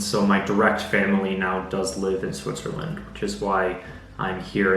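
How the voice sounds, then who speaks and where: Casual young American man, lowish voice. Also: echoey room, a little hiss or hum, poor audio. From United States (Bay Area). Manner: relaxed and chatty, talking straight to viewers. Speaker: vlogger and startup founder. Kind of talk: casual vlog talk to camera.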